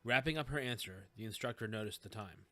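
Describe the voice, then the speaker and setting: A man narrating a scripted story in a measured, literary storytelling manner. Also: lowish voice, pushed and bright.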